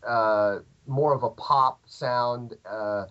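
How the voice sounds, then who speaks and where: Man, 30s, southern Illinois accent, meandering chat, mid pitch, lo-fi recording. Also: very slow, sharp tone. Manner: rambling, lots of fillers and restarts. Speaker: podcast guest, musician. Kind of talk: casual interview chat. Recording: dull lo-fi podcast audio.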